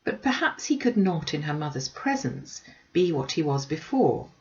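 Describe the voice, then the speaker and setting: A woman's voice: deep voice, big pitch swings, normal speed, darkish tone, dull audio. A woman narrating a classic novel as an audiobook reader, in scripted storytelling style.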